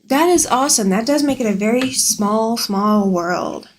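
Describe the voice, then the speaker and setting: A woman's voice: fairly high pitch, animated, a little slow, a little hiss or hum. Chatty woman live-streaming. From Alabama. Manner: chatty, rambling, answers viewer comments aloud. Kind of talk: casual live-stream chat. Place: home sewing room.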